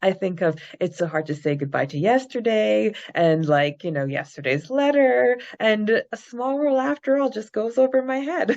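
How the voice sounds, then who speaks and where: A woman's voice: medium pitch, lively intonation, moderate pace, few pauses, darkish tone, muffled recording. A young adult woman co-hosting a fan podcast in a casual, chatty style. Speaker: podcast co-host.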